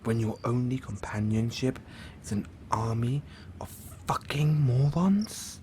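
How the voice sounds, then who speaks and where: A man playing a lonely, lovesick supervillain in an audio roleplay, theatrical and halting, often dropping into breathy near-whispers. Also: medium pitch, warm tone, a little hiss or hum, close to an everyday voice. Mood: lonely, yearning. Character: lovesick, lonely supervillain, suave musical phantom, obsessive and theatrical. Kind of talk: intimate audio roleplay acting.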